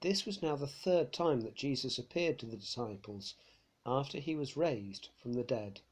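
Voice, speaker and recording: Man, scripture reader, measured pace, mid-pitched voice. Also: expressive, husky. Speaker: Bible reader. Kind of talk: church scripture reading.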